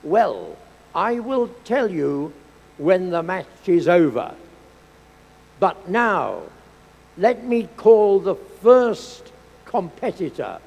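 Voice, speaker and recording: A man with a high voice announces competitors to a live crowd, unhurried and mock-grand, rolling out each line with theatrical formality. His voice rings through a large hall with a long echo, and faint crowd ambience sits underneath. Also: very animated, gravelly, a little mellow. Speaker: event presenter.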